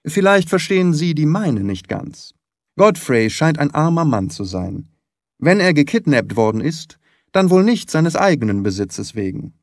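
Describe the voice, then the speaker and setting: A man voicing a shrewd, composed private detective in an audiobook, with a measured, polite and slyly mischievous manner. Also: on the high side, very animated, no room sound, clean studio sound, pitched above the performer's own voice. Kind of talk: dramatised audiobook reading.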